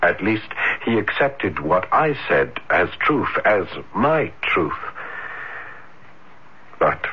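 Slow, ardent young romantic, low-voiced. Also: male voice, big pitch swings, breathy edge, exaggerated voice. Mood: yearning. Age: young adult. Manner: breathless, hesitant, florid romantic declarations. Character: shy, ardent young dreamer, romantically infatuated. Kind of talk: 1970s radio drama acting. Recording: muffled noisy old radio broadcast.

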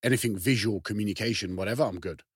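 Husky low-voiced man, native Turkish speaker. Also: no echo, clean studio sound. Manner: talks fast to get thoughts out, quick agreeing interjections. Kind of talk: casual podcast conversation.

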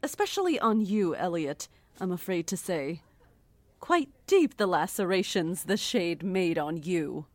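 A woman's voice: on the low side, expressive, darkish tone, dry recording. Smug, condescending woman villain, dry recording. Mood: smug, mocking. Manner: condescending, mock-sweet, deliberate. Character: smug, coolly condescending woman in control, veiled menace. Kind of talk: horror audio drama acting.

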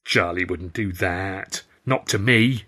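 A man's voice: low voice, slow, sharp tone, full character voice. A man voicing a sunny, trusting young comedian in an earnest, exclamatory way, in an audiobook. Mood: trusting, protesting.

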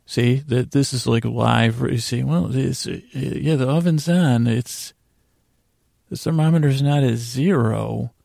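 A man hosting a sleep podcast in a soothing, rambling bedtime monologue, hesitant and meandering, with long pauses and stammered restarts and a gravelly voice. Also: medium pitch, dark tone, dry recording. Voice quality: creaky, gravelly. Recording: faint music under a dry voice.

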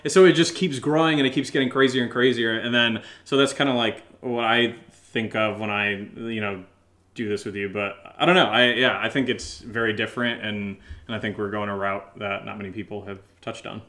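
A man's voice: lowish voice, steady tone, a little fast, fairly bright tone. A man co-hosting a casual question-and-answer chat, rambling hesitantly through loosely strung thoughts. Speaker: podcast co-host and audio drama writer.

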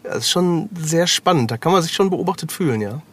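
A man's voice: on the high side, lively intonation, fairly quick, fairly bright tone. A man speaking as an author guest in casual, reflective conversation, searching a little for his words.

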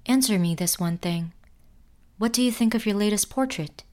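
A woman playing an inquisitive android who poses as a portrait sitter and confronts the artist in an audio drama, in a measured manner. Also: medium pitch, darkish tone, lightly acted. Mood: accusing. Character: probing female android posing as portrait sitter.

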